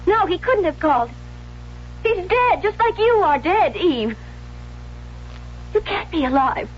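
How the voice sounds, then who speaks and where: A woman's voice: very high voice, very animated, unhurried, full character voice. An actress playing a tormented, love-starved young woman in an anguished 1970s radio drama style. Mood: hysterical despair. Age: young adult. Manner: anguished, overwrought, dramatic delivery. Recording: muffled, noisy old radio broadcast.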